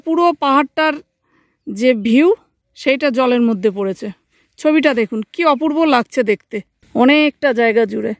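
A woman's voice: high-pitched, no room sound. A woman narrates a casual travel vlog, talking directly to her viewers. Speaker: travel vlogger. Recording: loud music behind the voice.

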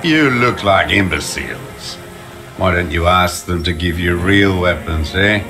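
Very deep-voiced, bitter old deserter. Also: male voice, lively intonation, measured pace, music in the background. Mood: mocking. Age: older. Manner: scornful, ranting, spitting insults. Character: bitter, sickly old communist deserter, contemptuous zealot. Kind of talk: dramatic video game voice acting.

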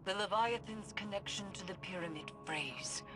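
A woman's voice: medium pitch, normal speed, over music, natural voice. A woman voicing a grave, cryptic mystic mentor in a video game, speaking formally and portentously. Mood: solemn, approving. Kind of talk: dramatic video game acting.

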